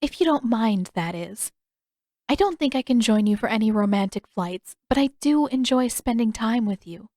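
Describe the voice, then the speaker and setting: A woman voicing a gentle, regretful teenage princess in an audiobook, with soft, careful, polite phrasing. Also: on the high side, animated, moderate pace, dry recording, clean studio sound. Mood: shy, gentle. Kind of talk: audiobook character dialogue.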